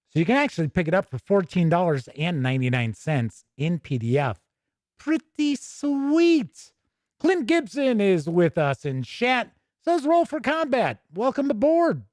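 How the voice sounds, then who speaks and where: Casting: American man, middle-aged gaming show host, slightly high voice, chatty livestream delivery, close and dry sound. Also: darkish tone, dry recording. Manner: chatty, conversational, with playful asides. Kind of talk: casual livestream commentary.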